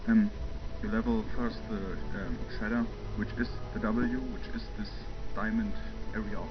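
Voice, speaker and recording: Young man, German native speaker, casual rambling game commentary, muffled lo-fi mic, loud game music behind. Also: lowish voice, flat delivery, unhurried. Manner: rambling, many fillers, chuckles to himself. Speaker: gameplay commentator.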